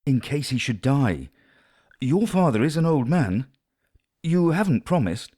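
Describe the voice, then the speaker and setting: Woman doing a low-voiced, earnest gentleman. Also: very animated, slightly rough, full character voice. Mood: urgent, earnest. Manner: earnest, measured. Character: earnest, compassionate young gentleman, steady and concerned.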